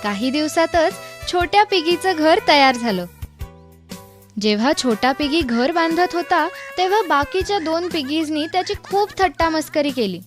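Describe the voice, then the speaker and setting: A female storyteller reads a children's tale in a high voice. It is scripted narration, with soft music playing behind her. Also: animated, normal speed. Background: background music.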